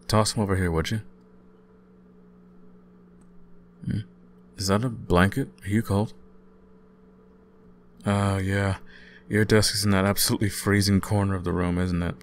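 A young man playing an easygoing, caring student roommate in an intimate ASMR audio roleplay, talking casually with gentle teasing. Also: sits low, a little hiss or hum. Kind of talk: intimate ASMR boyfriend-style roleplay, conversational acting.

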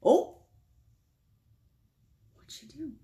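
An American woman in her thirties leading a picture-book storytime for small children, playful and expressive, asking them questions. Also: drawn-out words. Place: small indoor room with whiteboard.

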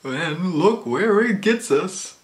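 A young man voicing a jovial cartoon bear with a slow, drawling delivery. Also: high-pitched, animated, pitched above the performer's own voice.

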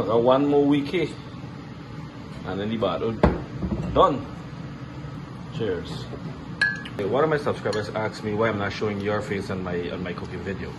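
A middle-aged man with a Guyanese accent chats to his viewers as a home cook. His voice sits a bit low. He is recorded in a home kitchen with very loud steady noise around him. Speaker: home cooking vlogger. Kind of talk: casual cooking vlog chat. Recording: noisy kitchen, loud appliance hum.

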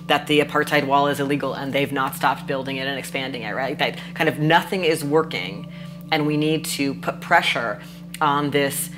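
A young woman with a very deep voice speaks as an activist, laying out arguments emphatically. Music plays behind her. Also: normal speed. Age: young adult. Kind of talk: explanatory interview talk.

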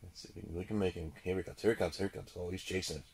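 A man giving casual running commentary as he fishes, muttering and repeating himself in a husky, gravelly voice. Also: low voice, fairly even intonation, very fast, noisy background. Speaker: ice angler demonstrating a fish finder. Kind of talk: excited casual running commentary while fishing.

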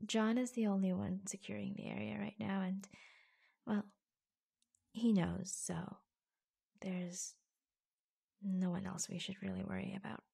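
Slow, breathy, creaky-voiced caring office assistant played by a woman. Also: medium pitch, level tone, full character voice. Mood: intimate, caring. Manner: hushed, gentle, hesitant pauses. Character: attentive, reassuring female office assistant, secretly affectionate. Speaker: ASMR roleplay performer. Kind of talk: ASMR roleplay, soft-spoken with breathy whispers. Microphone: close microphone.

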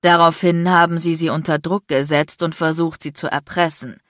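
An icy, domineering man in an audiobook drama, acted out by a woman. He is furious and sarcastic, hissing and barking threats in a lowish voice with a dry, close sound. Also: flat delivery, normal speed, dry recording, close to an everyday voice. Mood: icy fury, threatening. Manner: shouting, biting, threatening, crude. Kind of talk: dramatic audiobook character acting.

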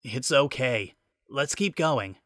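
A man's voice: mid-range voice, expressive, slow, a bit bright, no echo, studio quality. Man doing a brash, cocky young robot. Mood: playful. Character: cocky, joking young male robot, easily indignant.

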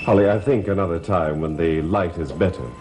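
An actor playing the brooding, commanding master of a mansion in a gothic soap opera, formal and theatrical. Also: male voice, low-pitched, a little fast, natural voice. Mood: reluctant. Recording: old TV soundtrack, music behind.

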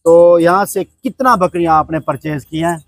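A man's voice: on the high side, steady tone, moderate pace, dry recording, studio quality. A man interviewing a customer on his goat farm, friendly and polite. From Pakistan. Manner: friendly, polite, repeats "my brother". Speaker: goat farm owner and host. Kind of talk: casual interview.

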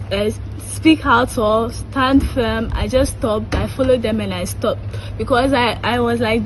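A woman's voice: fairly high pitch, rough recording. A young woman with a Ghanaian accent giving a personal testimony to camera. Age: young adult. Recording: phone video with faint noise.

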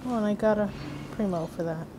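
A woman's voice: medium pitch, a little fast, rough recording. A woman giving casual commentary as she plays a video game. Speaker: game player. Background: video game music and sounds.

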